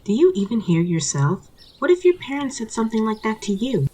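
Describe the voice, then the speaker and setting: Fed-up wife, acted by a woman: defiant, accusatory, bickering, with a husky edge, for story audio. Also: a little high, very animated, a little fast, dark tone, voice pushed up. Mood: indignant.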